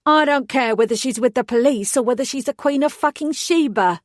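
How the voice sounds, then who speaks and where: A woman's voice: sits high, brisk, dry recording, clean studio sound, voice pushed up, lightly acted. A woman voicing a fake-Cockney female robber. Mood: contemptuous. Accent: put-on Cockney. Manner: threatening, clipped orders.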